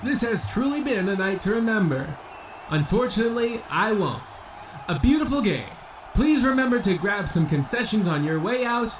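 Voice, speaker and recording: A man's voice: high voice, very animated, moderate pace, rough recording. A man playing a pompous boxing announcer with grandiose, old-time commentary in a comedy radio play. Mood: excited, grandiose. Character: pompous male boxing announcer obsessed with ancient Rome. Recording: faint background noise.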